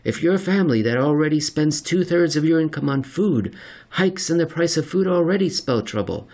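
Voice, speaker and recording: A man with a slightly husky voice narrates a written article in a deliberate, measured way with dramatic pauses. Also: a little high, expressive, darkish tone. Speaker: narrator reading an article aloud. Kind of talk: scripted narration of an economics article.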